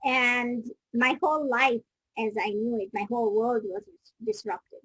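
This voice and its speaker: A woman's voice: a little high, fairly even intonation, unhurried, a bit bright, husky, dry recording, rough recording. A middle-aged woman from Kenya, guest on an interview, telling her story in a reflective way and often restarting sentences mid-thought. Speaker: guest, business coach.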